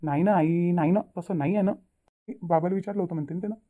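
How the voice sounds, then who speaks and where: A woman voicing a responsible elder brother and family peacemaker in a cartoon, probing and gently directing in a Vidarbha Marathi accent. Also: lowish voice, fast talker, close to an everyday voice. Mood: cautious, placating. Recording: tinny phone-like narrow audio.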